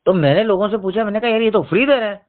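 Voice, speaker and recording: A high-voiced man speaks over a thin, narrowband phone line. He explains politics in a casual but insistent way, pressing his points on the person he is talking to. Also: very fast. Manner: insistent, explanatory, addressing the listener as brother. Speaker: journalist discussing politics. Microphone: phone call. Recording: tinny, narrowband phone call recording.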